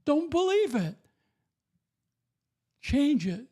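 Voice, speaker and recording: A man's voice: very high voice, very animated, very slow, a little mellow. An older man giving an emphatic, repetitive, preachy testimony about faith and raising children.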